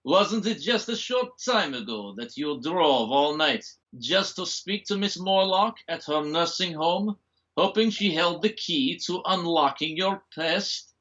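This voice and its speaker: An actor playing a menacing, mocking doctor who speaks theatrically and relishes cruel revelations in an audio drama. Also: male voice, fairly high pitch, animated, a little slow, a bit bright, lo-fi sound. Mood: taunting.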